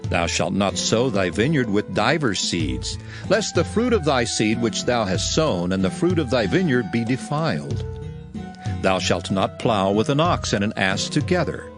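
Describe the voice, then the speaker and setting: A man's voice: on the low side, very animated, measured pace, over music. A man narrating scripture in a solemn, declamatory style, as in a dramatized Bible reading.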